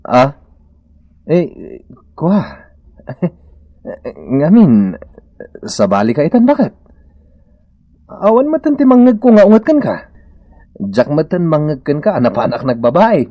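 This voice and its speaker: An actor with an Ilocano accent playing an astonished, teasing husband in a comedy radio drama, with a drawling, exclamatory delivery. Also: male voice, fairly high pitch, wildly swooping pitch, slow pace, long pauses, dark tone, heavily acted. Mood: bashful teasing. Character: astonished, teasing husband admiring his changed wife.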